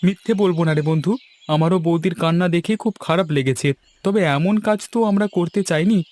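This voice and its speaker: Guy putting on touchy village lad, pitched up high, quick and insistent, cartoon style. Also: darkish tone, lightly acted. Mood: regretful. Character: high-voiced, touchy young village friend, mischievous. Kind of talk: cartoon story dialogue.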